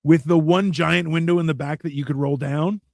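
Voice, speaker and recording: A man's voice: fairly high pitch, fairly even intonation, normal speed, gravelly, a little mellow, no echo, studio quality. An American man co-hosting a casual show, rambling with sarcastic jokes and frequent restarts. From United States. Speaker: podcast co-host. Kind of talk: casual banter.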